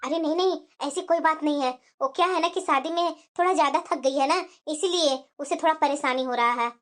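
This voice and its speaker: A woman's voice: sits high, fairly quick, lightly acted. A woman voicing a middle-aged mother-in-law in a family cartoon, patient and placating, with a plaintive undertone. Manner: coaxing, plaintive. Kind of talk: cartoon family drama dialogue.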